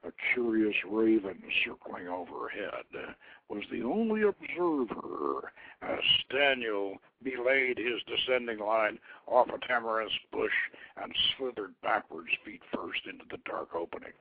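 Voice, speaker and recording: A man reading a legend aloud as scripted narration, in a measured, dramatic storytelling manner. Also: lowish voice, very animated, slight background noise. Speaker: host reading his own novelette. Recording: lo-fi, phone-like internet radio.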